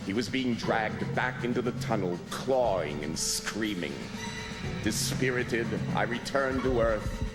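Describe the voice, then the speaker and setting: A man playing a greedy, hard-headed adventurer in a theatrical old time radio drama style, excitable and grimly urgent. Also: lowish voice, measured pace, over loud music. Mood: grave narration.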